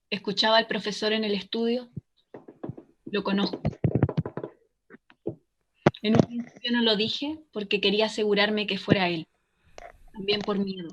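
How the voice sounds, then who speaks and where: A woman's voice: fairly high pitch, fairly bright tone, close to an everyday voice. A woman in a radio drama playing a vengeful former student, accusing and declaiming dramatically. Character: vengeful young woman, former student turned armed caller. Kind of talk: Spanish-language radio drama acting.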